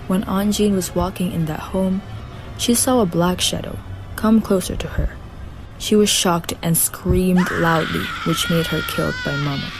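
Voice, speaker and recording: A woman's voice: lowish voice, unhurried, poor audio. A woman reading the narration of a student radio drama carefully, with some slips. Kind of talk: read-aloud narration. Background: music.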